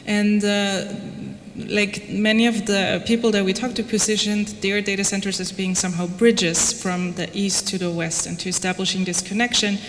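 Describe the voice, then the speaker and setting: A woman's voice: medium pitch, flat delivery, moderate pace. A woman from Austria giving an informal lecture in a conversational, wry way, peppered with casual asides. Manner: conversational, wry, frequent "like" and "you know". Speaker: lecturer presenting own research project. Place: reverberant lecture room, before an audience. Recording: echoey hall, background noise.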